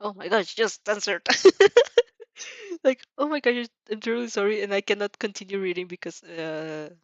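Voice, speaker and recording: A woman's voice: medium pitch, moderate pace, no room sound, dull audio. A woman host chatting casually while reacting to fan fiction, in a giggly, apologetic, scattered way that keeps interrupting itself.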